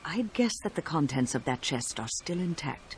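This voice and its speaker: An actor playing a composed male warrior companion in a video game, speaking in a measured way. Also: on the high side, big pitch swings, a little hiss or hum. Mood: reasoned. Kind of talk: video game cutscene acting.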